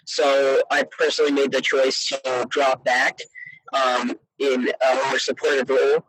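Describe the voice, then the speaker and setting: A man's voice: medium pitch, little pitch movement, unhurried, fairly bright tone, no room sound, low-quality recording. A teenage male student athlete answering casually in an interview, earnest and hesitant, leaning often on verbal fillers. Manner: hesitant, earnest, peppered with "you know".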